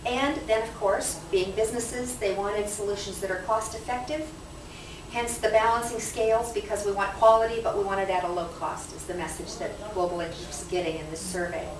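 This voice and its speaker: A woman's voice: mid-range voice, steady tone, a little fast. A woman giving a prepared conference talk to a live audience in an explanatory, emphatic way. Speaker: conference presenter. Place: conference session room. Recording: echoey noisy room, distant microphone.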